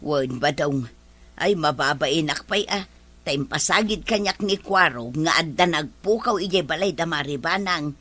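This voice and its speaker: A woman's voice: sits low, lively intonation, normal speed, fairly bright tone, no echo. A woman playing a troubled, shamefaced village woman in a radio drama. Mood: troubled, ashamed.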